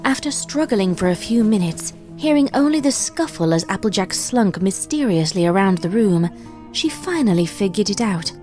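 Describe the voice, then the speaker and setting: Woman narrating a dark fan story. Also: medium pitch, moderate pace, faint music behind. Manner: steady storytelling. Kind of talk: scripted narration of a horror fanfic.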